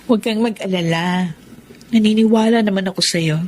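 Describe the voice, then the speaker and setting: A woman's voice: medium pitch, normal speed, a little mellow, lightly acted. An actress with a Filipino accent playing a tender, devoted woman in love in a radio drama. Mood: tender, reassuring. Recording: clearly noisy radio drama track.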